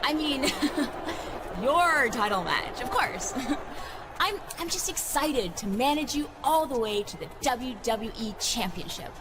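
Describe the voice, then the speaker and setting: A woman's voice: high-pitched, expressive, slow pace, background noise. An actress playing a flirty, scheming female wrestler who giggles as she talks.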